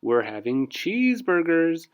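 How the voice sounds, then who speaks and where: A man's voice: on the high side, very animated, unhurried, clear, resonant tone, muffled recording, pitched above the performer's own voice. A man voicing a friendly, easygoing young boy in a storybook read-aloud. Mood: inviting.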